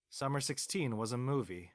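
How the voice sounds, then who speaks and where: Guy acting as dry laid back dad, medium pitch, deadpan, teasing his kid. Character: teasing, laid-back middle-aged dad, dryly reminiscing.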